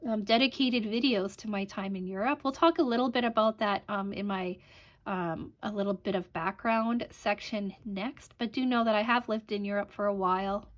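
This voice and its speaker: A woman's voice: medium pitch, moderate pace. A woman in her thirties from Canada, hosting a crafting channel and chatting casually about her life, rambling with frequent ums. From Canada (Alberta). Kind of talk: casual vlog chat.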